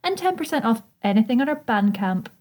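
A woman's voice: fairly high pitch, very animated, brisk, darkish tone. A woman co-hosting a casual podcast, reading promo copy with a wry, teasing manner. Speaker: podcast co-host.

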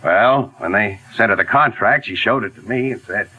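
An actor playing a brash, excitable theater producer in a 1940s radio drama, with breezy showman banter. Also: on the low side, normal speed, slightly rough. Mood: puzzled. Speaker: revue emcee. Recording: dull 1940s radio recording.

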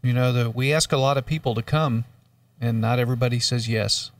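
A man co-hosting a talk show who chats in a relaxed, joking way with many fillers. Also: mid-range voice, a little fast, no echo, distant mic. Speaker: radio show co-host. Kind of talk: casual talk show chat.

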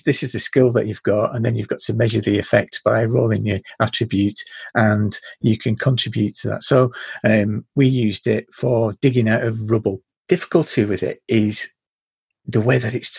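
Low husky gravelly guy, deadpan and measured, dry close sound. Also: no echo. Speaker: podcast host. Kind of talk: podcast chat, reading rules aloud.

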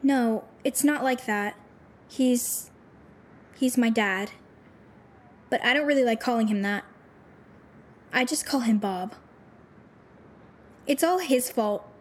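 Casting: shy high school girl, fairly high voice, halting, defensive but earnest, audio drama. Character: timid, defensive teenage schoolgirl.

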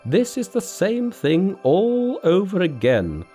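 A man plays a stern goddess in a storybook tale. The voice is raised high, scolding and authoritative, with an exasperated edge. There is faint music behind it. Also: sing-song, slow pace, warm tone, heavily acted. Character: stern, exasperated female Buddhist deity. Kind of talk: storybook narration with character voices.

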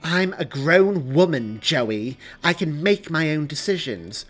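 A man's voice: a little high, huge pitch swings, unhurried, pushed and bright, pitched above the performer's own voice, exaggerated voice. A man voicing an angry, scornful pregnant young woman with indignant, biting delivery in a dramatized audiobook.

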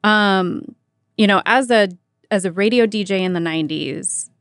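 A woman's voice: medium pitch, moderate pace, fairly bright tone, no room sound, studio quality. American woman hosting a casual interview. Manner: chatty, informal, peppers talk with "like" and "you know". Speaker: radio host interviewing a guest.

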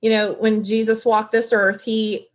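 A woman's voice: a little high, level tone, unhurried. Earnest woman giving encouragement. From moved around as a military child. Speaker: interview guest, foster parent. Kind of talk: encouraging advice.

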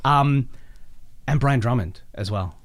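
Man, medium pitch, casual interview guest, enthusiastic, rambling storyteller. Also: takes it slowly, crowd noise. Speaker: guest, voice actor. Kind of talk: casual interview chat, telling anecdotes.

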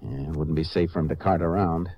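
An American man acting a stern, steady Texas Ranger in a 1950s radio western, speaking in a terse, firm, folksy way. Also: low-pitched, fast, slightly rough. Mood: firm, pressing. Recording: muffled old radio recording.

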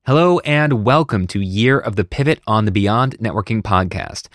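A man's voice: on the low side, lively intonation, moderate pace, fairly bright tone. A man hosting a podcast, reading a scripted intro and then chatting casually and self-deprecatingly with his guest. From United States. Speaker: podcast host.

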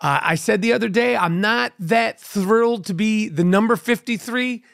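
A man's voice: high voice, normal speed, gravelly, fairly bright tone, dry recording, clean studio sound. A brash, profane man in his fifties with a New York accent, hosting a casual podcast and making mock demands. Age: 50s. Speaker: podcast host.

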